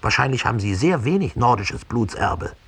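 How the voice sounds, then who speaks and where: Actor putting on a dry, bleating pedantic schoolmaster. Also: male voice, medium pitch, big pitch swings, moderate pace, creaky, fairly bright tone, husky, voice pushed up, heavily acted. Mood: smug, lecturing. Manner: lecturing, smug, pedantic. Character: pedantic, tactless male schoolmasterly Nazi lecturer with dry bleat. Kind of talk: 1960s radio play, quoted dialogue.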